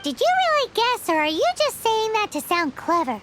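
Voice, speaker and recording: A very high, squeaky little fairy companion from a video game. The pitch swoops about playfully, blunt and cheeky like a small child. Music plays prominently behind the voice. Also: female voice, very animated, moderate pace. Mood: skeptical, teasing. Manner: speaks of herself in third person, blunt, teasing.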